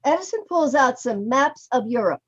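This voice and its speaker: A woman's voice: high voice, lively intonation, moderate pace, slight background noise. A woman reading stage directions for a play as scripted narration. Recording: dull video-call audio.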